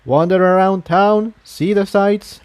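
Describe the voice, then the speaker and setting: A man's voice: high-pitched, steady tone, slow, a little mellow, clear, resonant tone, voice pushed up. A man voicing a frank, easygoing young male pony adventurer in a storybook, with a casual, slightly dismissive manner.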